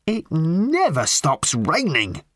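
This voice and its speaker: A man's voice: medium pitch, animated, unhurried, fairly bright tone, dry recording, studio quality. Man acting an aggrieved, ranting lorry driver. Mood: indignant. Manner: emphatic, darkly dramatic complaining.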